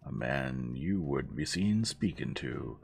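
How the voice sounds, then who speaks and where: Audiobook role: angry young rebel, acted by a man, very deep, gravelly, blunt and contemptuous. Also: animated, takes it slowly, darkish tone, soft background music, heavily acted. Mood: scornful, belligerent. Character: belligerent young male radical, scornful of the idle rich. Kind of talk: audiobook character acting.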